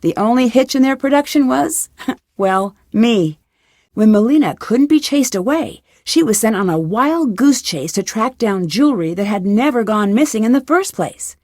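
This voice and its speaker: A woman's voice: fairly high pitch, expressive, moderate pace, darkish tone, no room sound, studio quality. Young female detective, closely recorded. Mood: wry, composed. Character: young female detective narrating a case wrap-up letter. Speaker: narrator. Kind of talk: scripted story narration.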